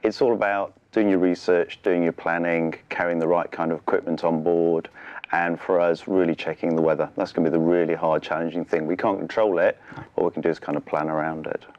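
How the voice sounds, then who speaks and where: A man's voice: sits low, level tone, brisk, a little mellow. A man in middle age. He answers interview questions conversationally, with earnest enthusiasm. The studio sound is a little muffled. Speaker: interview guest, charity sailor. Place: television studio.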